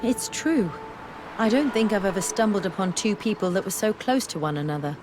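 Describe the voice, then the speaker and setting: A woman's voice: medium pitch, lively intonation, noisy background, close to an everyday voice. Earnest scholarly young woman played by an actress. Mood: reflective, warm. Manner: measured, formal, thoughtful phrasing. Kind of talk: video game dialogue acting.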